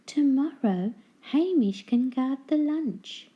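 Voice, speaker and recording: A kindly, resourceful wife from a children's storybook, as a woman reader puts her on. Her voice is raised in pitch, coaxing and decisive. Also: lively intonation, slow pace, warm tone, slightly rough, highs cut off, heavily acted. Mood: excited.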